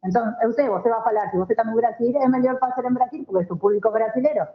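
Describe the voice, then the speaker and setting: A woman's voice: a little high, very fast, echoey room, highs cut off. A woman from Argentina with an Argentine accent and native Spanish, talking casually as a guest and mixing Portuguese with Spanish. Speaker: guest, marketing professional.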